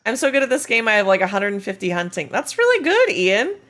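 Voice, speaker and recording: A woman with a slightly hoarse, gravelly voice, chatting casually with viewers on a game live stream while reading and answering chat. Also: fairly high pitch, sing-song, fast talker, a bit bright. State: slightly hoarse, losing her voice.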